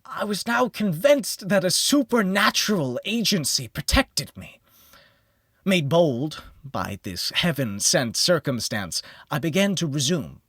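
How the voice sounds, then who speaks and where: A man narrating a gothic horror audiobook in a theatrical manner that moves between hushed near-whispers and heightened exclamation. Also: on the high side, breathy edge, dry recording, studio quality. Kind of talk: dramatic scripted horror narration.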